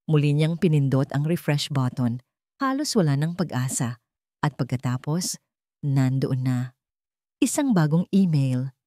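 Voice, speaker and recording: A woman's voice: deep voice, warm tone, no room sound, studio quality. A woman with a Filipino accent reading a scripted, dramatic story as its narrator.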